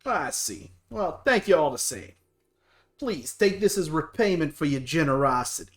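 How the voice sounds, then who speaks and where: A man's voice: high voice, fairly quick, echoey room, faint music behind, natural voice. A man voicing a polite, grateful theatrical performer in a game, speaking in a formal, courteous way. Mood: gracious, insistent.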